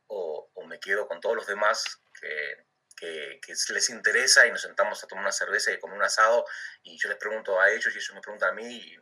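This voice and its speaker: A man's voice: fairly low pitch, sharp tone, slightly rough, recorded from a distance, low-quality recording. A middle-aged Argentine man chatting as an interview guest, stumbling and repeating words as he thinks aloud, and laughing easily. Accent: Argentine Spanish. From Argentina, Comodoro Rivadavia. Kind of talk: casual chat.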